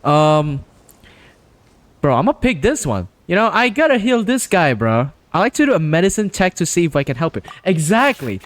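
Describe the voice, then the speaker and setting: A male streamer giving casual live-stream commentary, slangy and joking, reacting loudly as he plays. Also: fairly high pitch, sing-song, moderate pace, no echo.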